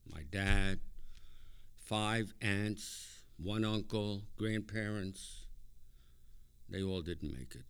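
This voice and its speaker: An older man with a low, smooth voice gives a personal talk to a group. He moves slowly, phrase by phrase, with pauses between them. His delivery is clean and resonant, with dry asides along the way. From Hungary. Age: older, born 1944. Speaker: speaker at a recovery meeting, lawyer. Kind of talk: personal testimony speech to a group.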